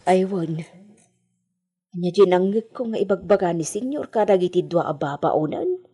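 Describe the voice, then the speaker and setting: A woman's voice: fairly low pitch, animated, moderate pace, mellow, husky. A woman playing a loyal, anxious Filipina household servant in a radio drama, exclaiming and deferential. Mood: concerned. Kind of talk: Ilocano radio drama acting.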